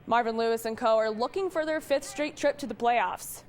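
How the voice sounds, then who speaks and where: Woman doing scripted tv sports news, kinda high voice. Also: normal speed. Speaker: television sports reporter.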